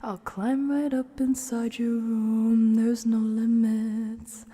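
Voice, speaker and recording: A woman live streamer with a soft, gentle manner, drifting between casual ASMR chat and soft singing. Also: on the high side, level tone, slow, husky.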